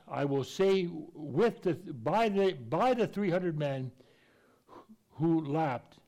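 A man's voice: fairly high pitch, mellow. A man preaching a conversational Bible teaching, folksy and plainspoken, with an occasional stutter and restarts.

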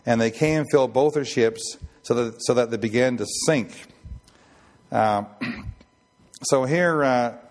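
Man in his sixties, raspy voice, informal preaching, rambling with fillers, mid-pitched. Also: slow. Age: 60s. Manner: rambling, many fillers and restarts. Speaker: ship chaplain preacher. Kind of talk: informal Bible teaching.

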